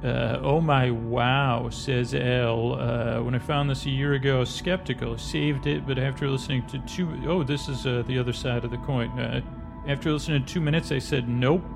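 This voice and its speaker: Man, creaky fry in a mid-pitched voice, rambling bedtime podcast talk, halting reading of reviews. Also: fairly even intonation. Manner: meandering, halting delivery. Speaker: podcast host thanking listeners. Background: music.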